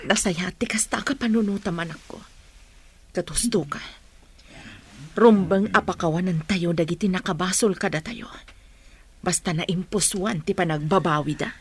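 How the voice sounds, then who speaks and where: A woman playing a gentle, forgiving middle-aged mother in an Ilocano radio drama, with a husky voice. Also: fairly low pitch, moderate pace, long pauses. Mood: gentle, counseling.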